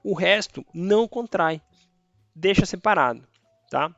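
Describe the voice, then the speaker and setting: A man's voice: sits high, expressive, measured pace, vocal fry, fairly bright tone, dry recording, dull audio, faint music behind. A man whose first language is Spanish, teaching Spanish grammar in a casual online lesson and checking in often with his viewers. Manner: chatty, checks in with tá and beleza.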